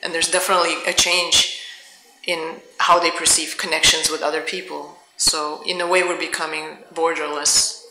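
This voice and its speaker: A woman's voice: low voice, level tone, normal speed, sharp tone. A middle-aged woman from the Soviet Union, answering audience questions as a guest author in a reflective, searching way. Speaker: author and university teacher, guest speaker. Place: indoor public event room. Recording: echoey room, faint background noise, clicks.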